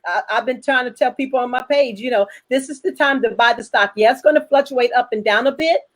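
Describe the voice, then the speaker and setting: A woman's voice: a little high, fairly quick. A woman hosting a casual online panel chat, speaking frankly and jokingly as a filmmaker. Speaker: host, filmmaker.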